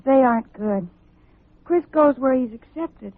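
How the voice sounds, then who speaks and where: A worried, earnest female client in an old radio detective drama. Her voice is high, and she speaks with measured hesitation. The sound is dry and thin, like a vintage broadcast. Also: expressive, no room sound, exaggerated voice. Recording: narrow-band old radio recording.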